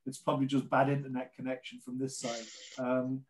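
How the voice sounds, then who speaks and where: A man's voice: mid-range voice, completely flat delivery, a little fast, a little mellow, rough recording. A man in his late forties with a Geordie accent, presenting chattily and informally as a guest on a video call. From North East England. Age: late 40s. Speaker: ski brand representative and guest presenter.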